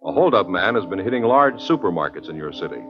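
A man's voice: low voice, big pitch swings, quick pace. An American man reading a scripted 1950s radio drama introduction in a grave, clipped, dramatic manner. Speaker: radio announcer. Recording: muffled old radio recording. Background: theme music.

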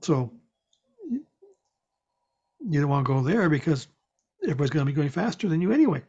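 A man's voice: on the high side, sing-song, normal speed, darkish tone, husky. A man chatting casually in a hesitant, mild-mannered, rambling way. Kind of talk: casual chat. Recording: dull, lo-fi audio.

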